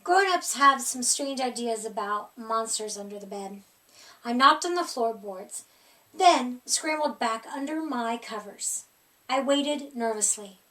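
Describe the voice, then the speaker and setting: A woman reading a picture book aloud as expressive children's story narration. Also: high voice, far from the mic, rough recording. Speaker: storybook reader.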